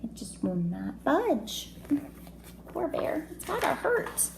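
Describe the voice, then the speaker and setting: A woman's voice: high voice, takes it slowly, vocal fry. A woman reading a storybook aloud to young children as a teacher, expressively, with chatty asides and questions. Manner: expressive, child-directed, asking questions and commenting. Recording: echoey room with faint noise.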